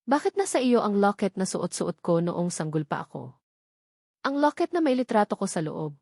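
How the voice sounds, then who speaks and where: A woman's voice: mid-range voice, very animated, moderate pace, gravelly, a little mellow, dry recording, clean studio sound. A woman voicing a wronged, emotional daughter posing as a housemaid in a story. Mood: accusing, emotional. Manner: firm, controlled, emotion-laden.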